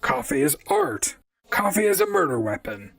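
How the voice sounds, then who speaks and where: A man's voice: very high-pitched, huge pitch swings, normal speed, gravelly, fairly bright tone, dry recording, distant mic, full character voice. A man playing an earnest, inquisitive robot private detective in an audio drama. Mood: bemused. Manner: earnest, questioning, narrates his own cases. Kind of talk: audio drama acting and narration.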